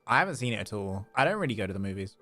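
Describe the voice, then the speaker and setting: Gravelly, low-voiced man livestreaming a game. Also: sing-song, racing, sharp tone. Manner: playful, chatty, repeats phrases. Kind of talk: casual gaming livestream chat, reading game dialogue aloud. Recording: game music behind the voice. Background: video game music.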